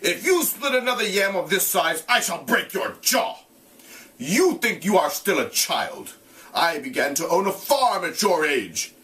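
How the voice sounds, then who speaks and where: A man's voice: high-pitched, sing-song, measured pace, pushed and bright, recorded from a distance, voice pushed up, heavily acted. A man reading the part of a harsh farmer father scolding and threatening his sons, in a storybook read-aloud. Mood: angry, threatening. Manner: stern, scolding.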